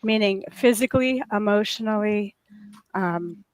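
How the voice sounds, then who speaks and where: A woman with a soft voice that gets crackly and raw, telling a long story in earnest, rambling way, often correcting herself mid-sentence. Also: mid-range voice, unhurried, clean tone. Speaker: caller telling her story. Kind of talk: casual group chat.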